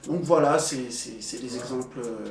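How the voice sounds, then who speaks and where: A young man who grew up in Belgium, telling his own experiences in a relaxed group talk with many fillers and pauses. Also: mid-range voice. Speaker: panel guest. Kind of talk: casual conversation. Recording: echoey room, distant mic, soft music.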